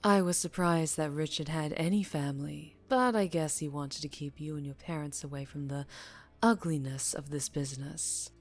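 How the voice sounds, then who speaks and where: A woman playing a dangerously charming female mafia boss in an ASMR roleplay, teasing and menacing, with deliberate purring delivery that drops toward a whisper. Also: sits low, fairly even intonation. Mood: smug, watchful. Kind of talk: ASMR roleplay audio drama, addressing the listener.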